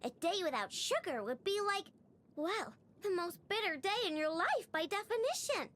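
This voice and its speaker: A woman's voice: very high-pitched, sing-song, moderate pace, fairly bright tone, exaggerated voice. A woman voicing a perky, opinionated young girl in a video game, emphatic and melodramatic. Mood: perky, dramatic. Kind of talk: scripted game character monologue.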